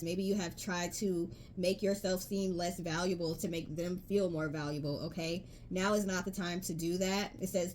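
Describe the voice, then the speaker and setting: A woman's voice: on the low side, darkish tone, a little hiss or hum. A woman giving a casual tarot reading to her viewers, chatty and emphatic, reading card messages aloud. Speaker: tarot reader.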